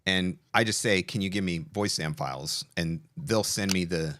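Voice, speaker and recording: A man's voice: low voice, normal speed, fairly bright tone, a little hiss or hum. A man hosting a live stream in a casual, conversational way. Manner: conversational, informal. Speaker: host of a live stream session.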